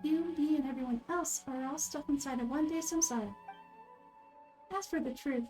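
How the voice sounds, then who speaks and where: A woman voicing a gentle, wise little girl deity from a video game, speaking in a calm, measured, explanatory way. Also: high voice, faint music behind, natural voice. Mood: calm, knowing. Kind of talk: video game character dubbing.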